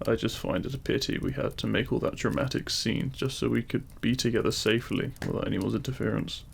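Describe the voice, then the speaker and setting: Male actor as demon king, heavy vocal fry, intimate romantic roleplay, deliberate delivery, faint background noise. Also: mid-range voice, steady tone. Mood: loving, gentle. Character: feared demon king, menacing in public, tender lover in private. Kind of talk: romantic ASMR audio roleplay.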